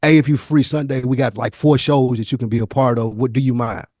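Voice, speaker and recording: A man's voice: medium pitch, steady tone, a little fast, a little mellow, no echo, studio quality. A man co-hosting a podcast, telling stories and reflecting candidly in casual, slangy language with some swearing. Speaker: podcast co-host.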